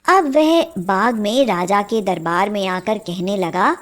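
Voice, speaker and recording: A woman's voice: mid-range voice, slow pace, fairly bright tone, faint music behind. A woman reading scripted children's story narration.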